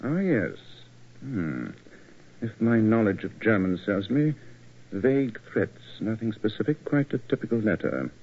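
A man's voice: lowish voice, sing-song, a little slow, husky, exaggerated voice. A man playing a self-assured English detective with a British accent, precise and formal, in 1940s radio acting. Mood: analytical. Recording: dull, lo-fi old radio recording.

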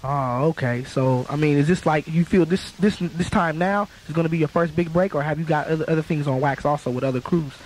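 A young man with a slightly high voice hosts a radio interview. He talks fast and informally in slang, over a light hiss. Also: rough recording. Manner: slangy, repeats "you know what I'm saying". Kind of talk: casual interview chat. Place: small radio studio. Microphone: studio boom mic. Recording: hissy college radio recording.

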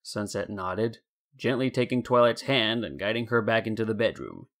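A man narrating a scripted story in a deliberate, dramatic storytelling manner. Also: lowish voice, animated, fairly bright tone. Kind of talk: scripted fan fiction narration.

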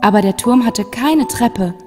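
A woman's voice: on the high side, a little slow, over music. A woman storyteller reading a scripted fairy tale for children as narration.

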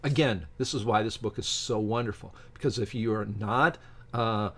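A middle-aged man reads aloud haltingly from a book and breaks off to give his opinionated, informal commentary as a channel host. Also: on the low side, slightly rough. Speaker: YouTube science channel host. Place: small home office with bookshelves, indoors.

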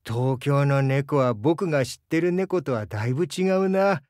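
A man playing a gentle, bashful crocodile diving instructor in a Japanese game, earnest and hesitant, easily flustered. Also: mid-range voice, moderate pace, dry recording, studio quality, natural voice. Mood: calm, friendly. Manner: soft-spoken, hesitant, earnest, stammers when flustered. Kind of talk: Japanese game character voice lines.

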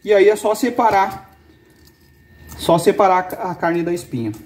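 A man in his forties, from Brazil, hosting a fishing channel and calmly explaining how to fillet a fish, step by step, in an indoor kitchen. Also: high-pitched, a little slow. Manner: calm step-by-step explaining, pointing things out. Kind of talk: cooking demonstration. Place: indoor kitchen with brick and wood walls.

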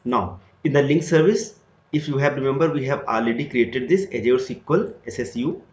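A man talking through a screen-recorded software tutorial step by step, starting many sentences with "so". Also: medium pitch, brisk, a little hiss or hum. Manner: step-by-step explanatory, repeats "so" often. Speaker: tutorial instructor. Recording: roomy, dull lo-fi sound.

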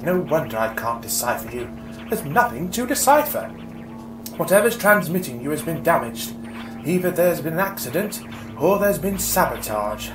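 A man's voice: a little high, very animated, normal speed, over music, voice pushed up, poor audio. A man voicing a curious, theatrical alien time traveller who muses aloud to himself in an audiobook. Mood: pondering.

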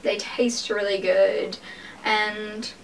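A woman's voice: medium pitch, little pitch movement, drawn-out words, distant mic, slight background noise, poor audio. A woman in her twenties chatting casually in a vlog from her bedroom, with lots of "like"s and offhand swearing. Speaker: vlogger. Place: small bedroom indoors.

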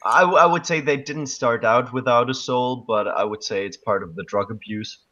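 A man's voice: medium pitch, moderate pace, sharp tone. A man in his thirties from Germany speaking English with a German accent, a hesitant interview guest on a video call. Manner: hesitant, many ums and restarts. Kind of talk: casual interview chat. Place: dark room at night. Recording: dull lo-fi call audio.

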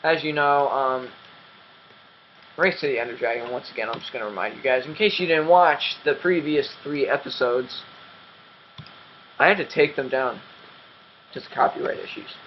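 A man's voice: mid-range voice, moderate pace, long pauses, highs cut off, slight background noise. A young man hosting a game commentary, rambling and self-mocking with lots of ums, his voice dry and cracking. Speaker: gaming channel host.

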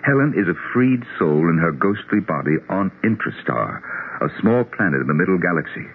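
A man's voice: low-pitched, very animated, brisk. A man acting an earnest, intense grieving psychologist in a 1970s radio drama. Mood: earnest, insistent. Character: earnest, grieving psychologist obsessed with proving afterlife. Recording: muffled, noisy old radio broadcast.

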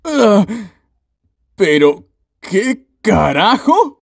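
A man with a Latin American Spanish accent voicing a gruff, sardonic, foul-mouthed male echidna in a cartoon fan dub. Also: sits high, sing-song, drawn-out words, no room sound, clean studio sound, full character voice. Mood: incredulous, amused.

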